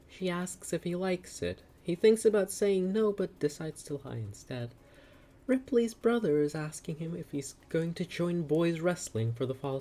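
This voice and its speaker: A woman giving a dramatic scripted narration of a comic, with literary, deliberate delivery. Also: fairly low pitch, warm tone.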